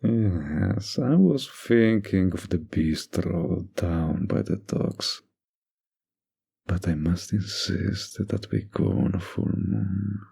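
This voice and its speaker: Man playing a slow, husky flirty mafia boss. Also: sits low, mellow, heavily acted. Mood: flirty, coy.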